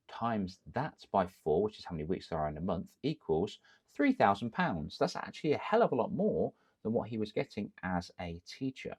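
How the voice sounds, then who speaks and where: English male coach, deep register, upbeat to-camera pitch, asks and answers his own questions. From England. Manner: energetic, coaching tone with rhetorical questions. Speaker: confidence coach. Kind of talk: direct-to-camera business advice.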